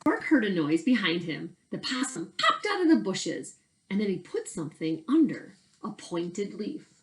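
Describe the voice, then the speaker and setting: A woman's voice: medium pitch, sing-song, normal speed, low-quality recording. A middle-aged woman chatting casually and reading a storybook to children in a conversational way. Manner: conversational, addressing young listeners with questions. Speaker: read-aloud storyteller for children. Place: indoors in a bedroom at home.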